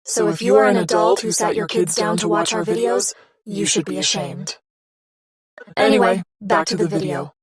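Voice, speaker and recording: A woman's voice: on the low side, moderate pace. A woman reading a scripted content warning in a dry, mock-stern way.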